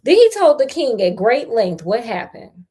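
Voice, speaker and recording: A high-voiced American woman reads a fairy tale aloud. Her pitch swings widely and her delivery is playful. She breaks into casual, slangy chat as she goes. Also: sing-song, normal speed, dark tone, breathy edge. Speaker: storyteller and host. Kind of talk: animated fairy tale reading with casual asides.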